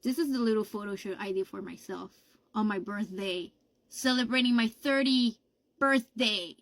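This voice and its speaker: A woman's voice: medium pitch, normal speed, no room sound. A woman of thirty talking casually in a social media clip.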